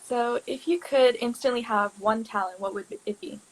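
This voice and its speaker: A young woman with a slightly high, breathy voice reads out interview questions as a student interviewer. She stays friendly and giggly and often starts with a soft "um". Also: lively intonation, moderate pace, low-quality recording.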